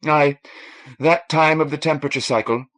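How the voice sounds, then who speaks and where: A man voicing a warm, frank Irish woman, a ship's doctor, in a lilting, coaxing manner for an audiobook. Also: a little high, fairly even intonation, moderate pace, pitched above the performer's own voice, natural voice. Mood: soothing, probing.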